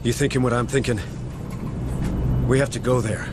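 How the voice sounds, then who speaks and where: A stubborn American Marine sergeant, played by a male actor in a sci-fi game. He talks in blunt, folksy orders with a gruff command. Loud vehicle rumble sits behind him. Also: fairly even intonation, normal speed, close to an everyday voice. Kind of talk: video game voice acting.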